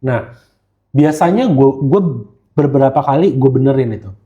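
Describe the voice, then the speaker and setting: A man's voice: mid-range voice, lively intonation, moderate pace, dark tone, lo-fi sound. An Indonesian man in casual podcast conversation, talking slangily and slipping English phrases into his speech. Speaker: guest gemologist who hosts live streams.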